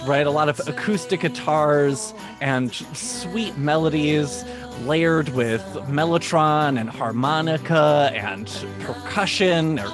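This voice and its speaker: A man, a guest composer, recording semi-scripted podcast narration in an explanatory way with halting phrasing. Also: on the high side.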